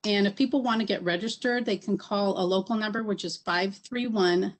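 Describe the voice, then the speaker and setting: A woman's voice: medium pitch, a little fast. A woman speaking as a state health official on a video call panel, hesitant with many fillers and restarts.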